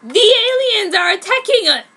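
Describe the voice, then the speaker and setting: A woman voicing a distraught, tragic young man in a melodramatic story reading, with loud, wailing cries. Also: extremely high pitch, big pitch swings, normal speed, pitched above the performer's own voice, full character voice. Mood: alarmed.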